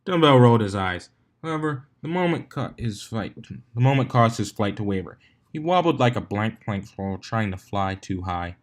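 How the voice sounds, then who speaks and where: A man's voice: fairly low pitch, expressive, moderate pace. A man reading fan fiction aloud as a narrator, now and then stumbling and rereading a phrase. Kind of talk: story reading with character voices.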